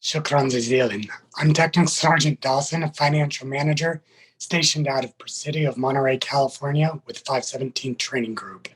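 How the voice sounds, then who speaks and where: A man's voice: mid-range voice, fairly quick, slightly rough, poor audio. A man speaking as a military sergeant and interview guest, giving prepared answers in a formal, careful, earnest manner.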